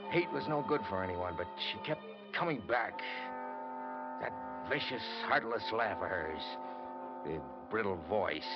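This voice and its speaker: A man's voice: lowish voice, lightly acted. Man acting a bitter hardboiled reporter, vintage radio sound. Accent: American. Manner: dramatic, clipped hardboiled delivery. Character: bitter, brooding hardboiled male newspaper reporter. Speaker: radio drama lead and narrator. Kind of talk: old-time radio noir acting. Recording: dull old radio recording with music.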